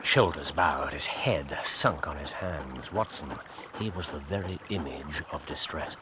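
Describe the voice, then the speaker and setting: A brisk, animated detective in noisy surroundings. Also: male voice, very deep voice. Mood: grave, subdued. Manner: animated, urgent, theatrical delivery. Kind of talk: period radio drama acting.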